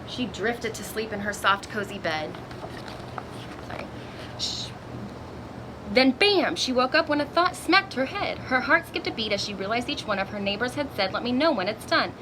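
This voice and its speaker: A woman reading a children's picture book aloud in an expressive storytelling manner, with little asides to young listeners. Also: a little high, moderate pace, noisy background. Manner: expressive, acts out exclamations and dialogue.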